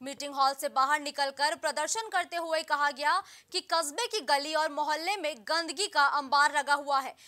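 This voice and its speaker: A young woman anchors a news bulletin in a high, bright and forceful voice. Her delivery is formal and emphatic, reading from a script. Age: young adult.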